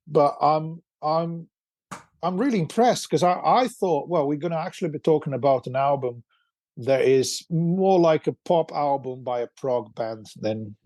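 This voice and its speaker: Mellow-voiced Brazilian-born male co-host. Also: fairly high pitch, moderate pace, no echo. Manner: hesitant, many fillers and restarts, laughs. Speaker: podcast co-host. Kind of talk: casual chat. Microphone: video call.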